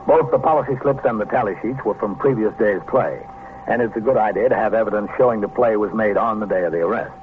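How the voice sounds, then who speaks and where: An American man playing a stern police captain in a vintage radio crime drama, dry, measured and authoritative. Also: fairly low pitch, lightly acted. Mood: measured narration. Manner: dry, authoritative, matter-of-fact. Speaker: police captain narrating his case. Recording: noisy, narrow-band old radio recording.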